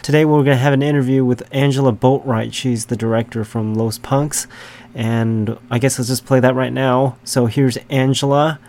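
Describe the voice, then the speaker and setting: A man hosting a punk radio show, raspy from the flu, chatting in a laid-back way into a studio mic. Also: mid-range voice, level tone, normal speed, darkish tone, slight background noise.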